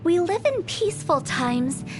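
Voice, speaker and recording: A woman's voice: very high-pitched, big pitch swings, measured pace, full character voice, poor audio. A woman voicing a playful, eerie young funeral parlor director with theatrical, teasing delivery in an anime game. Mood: solemn storytelling. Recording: music over the voice.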